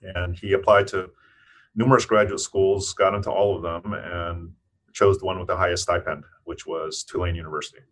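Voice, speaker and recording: A man in his fifties with a very deep voice, a guest on an interview. He answers in a thoughtful, measured way, often pausing and restarting his sentences. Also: flat delivery, fairly bright tone. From United States (Eastern Tennessee). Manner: thoughtful, with frequent restarts and fillers. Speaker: physician and scientist guest. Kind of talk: interview conversation.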